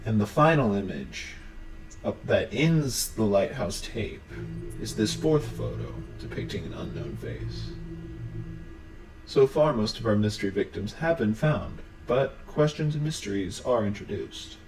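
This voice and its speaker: A man's voice: lowish voice, big pitch swings, unhurried, background noise. A man narrating an explainer about a horror series in a scripted recap style. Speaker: video narrator.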